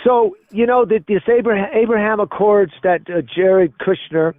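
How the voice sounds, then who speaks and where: A man's voice: high-pitched, normal speed, dry recording, phone-quality sound. A middle-aged or older man from Spain talking politics on a call, insistent and repeating himself for emphasis. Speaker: caller. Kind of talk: opinionated political conversation. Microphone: phone or voice call.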